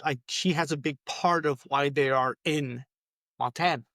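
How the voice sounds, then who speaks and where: A man's voice: on the high side, moderate pace, fairly bright tone, no echo, studio quality. A man co-hosting a casual podcast, agreeing often with short replies. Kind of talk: casual chat.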